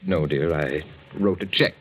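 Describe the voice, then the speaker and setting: An actor playing an evasive, idle gambler husband, hesitant and defensive, in a 1940s radio drama. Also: male voice, a bass voice, measured pace, husky, heavily acted. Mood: sheepish pleading. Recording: old 1940s radio broadcast.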